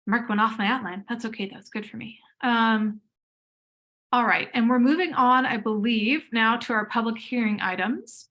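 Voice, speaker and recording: A woman's voice: on the high side, normal speed, a bit bright. A woman chairing a public board meeting in a friendly, informal way over a video call.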